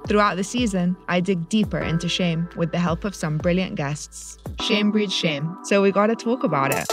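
Measured woman hosting. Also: fairly low pitch. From Egypt. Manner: measured, clearly read intros. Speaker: podcast host, journalist. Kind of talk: scripted narration. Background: faint music.

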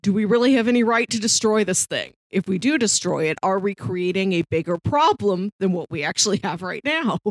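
A woman's voice: medium pitch, expressive, a little fast, hardly any pauses, no room sound. A woman co-hosting a film podcast, explaining her thoughts in a casual, thoughtful discussion. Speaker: podcast co-host.

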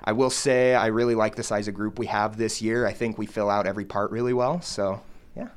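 A young man from Colorado, a college a cappella singer, answering studio interview questions in a relaxed way with frequent ums. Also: fairly low pitch, brisk. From Denver, Colorado. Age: young adult. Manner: relaxed, lots of ums and uhs. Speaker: college a cappella vocal percussionist. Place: radio studio.

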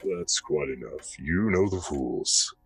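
A man voicing a hooded, faceless reaper who referees the afterlife with calm authority, in measured, formal pronouncements. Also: a bass voice, husky, faint music behind, exaggerated voice. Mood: stern, commanding.

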